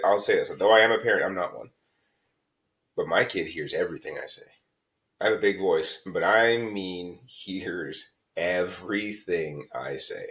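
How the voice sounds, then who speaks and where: A man's voice: low voice, fairly bright tone. A man co-hosting a podcast, talking bluntly and heatedly, swearing freely as a parent giving advice. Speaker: podcast co-host. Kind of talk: candid podcast discussion.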